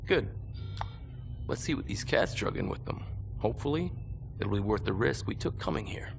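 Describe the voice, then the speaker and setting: A battle-worn military commander, with a low, creaky voice. He speaks in measured, authoritative game-cutscene style, with faint noise behind him. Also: animated. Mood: brisk, commanding. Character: battle-worn male mercenary colonel, commanding, measured, guarded. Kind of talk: dramatic game acting.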